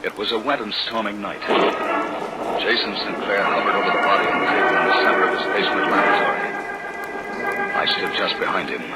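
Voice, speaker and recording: An actor playing an uneasy young lab assistant in an old radio horror play, speaking gravely and apprehensively. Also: male voice, sits low, fairly quick, over loud music, close to an everyday voice, rough recording. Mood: somber. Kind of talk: old-time radio narration and acting. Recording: vintage radio recording, heavy rain noise.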